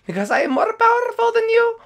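Cartoon villain queen, tyrannical and gloating, very high, theatrical. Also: sing-song, a little fast, creaky, full character voice. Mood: defiant.

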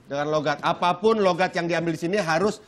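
A man's voice: on the high side, fast talker, a bit bright, slight background noise. A young man from eastern Indonesia co-hosting a TV talk show, teasing and chatting with the guest. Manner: teasing, interjecting, tries regional accents. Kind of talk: playful studio banter. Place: TV studio set with audience.

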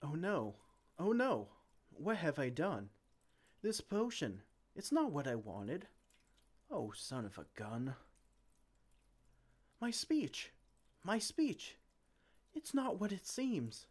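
Man acting a flustered, theatrical mage with swooping pitch. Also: huge pitch swings, slow pace, pauses often, a little mellow, breathy edge, exaggerated voice. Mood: dismayed. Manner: theatrical, exclamatory, speaks to a silent assistant. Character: flustered, well-meaning male mage and potion brewer. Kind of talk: ASMR roleplay acting.

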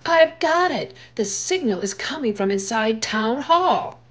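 Storybook girl detective read by a woman, clever, eager, exclamatory, lively intonation. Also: on the high side, measured pace, pitched above the performer's own voice. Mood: triumphant. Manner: emphatic, exclamatory. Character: eager, brainy young woman sleuth.

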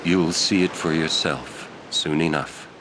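A man playing a stern, world-weary warrior guardian in a video game cutscene, speaking in a grave, terse, commanding way. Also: unhurried. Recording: game audio over steady surf-like rumble.

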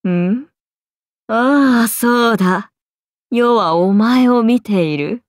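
A woman's voice: on the high side, very slow, no room sound, studio quality. A woman voicing a haughty, amused and predatory ancient serpent-god oni in a game, speaking in archaic, imperious, languid phrases. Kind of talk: Japanese game character voice lines.

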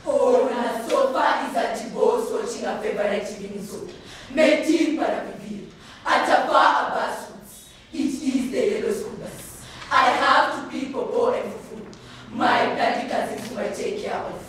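A teenage Kenyan girl reciting a poem in a theatrical, emphatic spoken-word style with deliberate pauses. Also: a little high. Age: teens. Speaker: high school student reciting a poem. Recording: echoey hall from far off, crowd noise.

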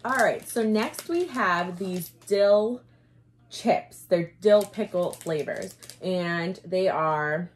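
A woman in her thirties co-hosting a casual snack review, lively, with quick asides and frequent laughter. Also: lowish voice. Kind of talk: casual snack tasting chat. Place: indoor room before a glitter backdrop.

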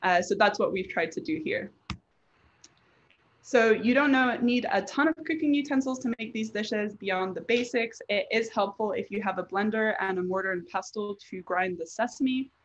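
A woman gives an informal online presentation, explaining as she goes in a conversational style. Her voice sits at a middling pitch for a woman. The sound is a little dull and lo-fi, like an online call. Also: normal speed. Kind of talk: conversational presentation over slides. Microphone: online video presentation.

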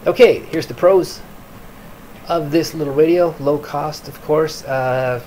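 A man from Canada giving an informal product review in a halting, piecemeal delivery. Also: fairly high pitch, a little mellow. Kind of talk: informal screen-recorded review. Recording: clearly noisy home recording.

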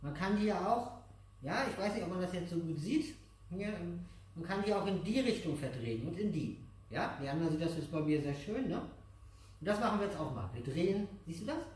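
A man's voice: sits high, animated, a little fast, darkish tone. A middle-aged man teaching exercises step by step in simple, repeated phrases, patient and encouraging. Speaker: aikido instructor. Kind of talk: hands-on exercise instruction to a child and viewers. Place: large dojo room with mats, indoors. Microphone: distant camera microphone. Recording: echoey hall, far from the mic.

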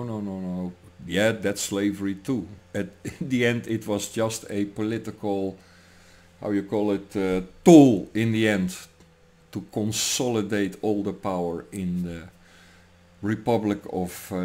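A man's voice: on the low side, darkish tone. A man in his sixties with a Dutch accent, rambling through a commentary vlog at his desk and often tagging his points with "huh?". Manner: digressive, tags lines with "huh?", laughs between thoughts. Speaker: political commentary vlogger. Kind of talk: rambling monologue. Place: home room, indoors. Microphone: webcam at desk.

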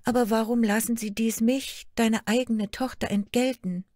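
A woman's voice: on the high side, fairly even intonation, moderate pace, darkish tone, no room sound, clean studio sound, pitched above the performer's own voice, natural voice. A woman voicing Märchen, a young girl who is the spirit of fairy tales, pleading and tearful.

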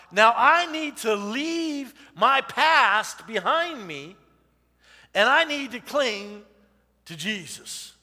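A man in his forties preaching an informal sermon in a church, talking loudly and animatedly while he walks among the audience. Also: extremely high pitch. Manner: loud and animated, walking the stage and aisles. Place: church sanctuary with a stage. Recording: long reverb of a church hall.

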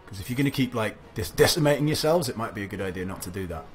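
A young man with a low, slightly gravelly voice talks through a strategy game, musing aloud to himself in a loose, chatty way. Soft game music plays faintly under his desk-mic commentary. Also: animated, rapid-fire. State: recovering from a cough, slight gravel. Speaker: let's play commentator. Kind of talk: casual gameplay commentary.

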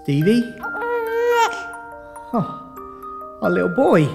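A man plays a bumbling middle-aged dad with a high voice, sure of himself. It is audio drama acting, with long reverb on the voice. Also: expressive, extremely slow, gravelly, loud music behind the voice, full character voice. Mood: moved.

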